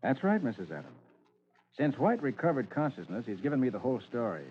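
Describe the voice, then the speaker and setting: An actor playing a confident, methodical private detective in an old radio drama, explaining with assurance. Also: male voice, mid-range voice, sing-song, brisk, close to an everyday voice. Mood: assured, explanatory. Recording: dull, noisy old radio recording.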